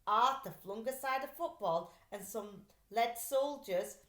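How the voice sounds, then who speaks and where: A woman reading a children's storybook aloud like a teacher, in an expressive, deliberate way. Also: on the high side. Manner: animated, deliberate storytelling delivery. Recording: roomy, echoey sound.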